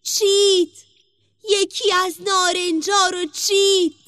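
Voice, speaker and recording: Shrill magic tree sounding alarm. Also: female voice, level tone, a bit bright, dry recording. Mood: urgent alarm. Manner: chanting, repetitive, crying out.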